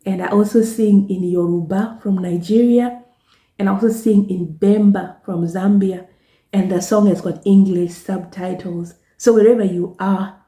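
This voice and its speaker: A woman from Zimbabwe with a Zimbabwean accent, Shona her first language, talking casually to camera in a slow, halting way with many repeated words and restarts. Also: mid-range voice, dark tone, roomy sound. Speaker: travel vlogger and gospel singer.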